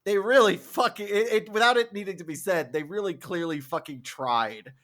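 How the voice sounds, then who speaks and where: A woman's voice: medium pitch, normal speed, a bit bright. Woman podcast panelist, mid-range voice, super informal, swears a lot, just chatting. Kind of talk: casual chat.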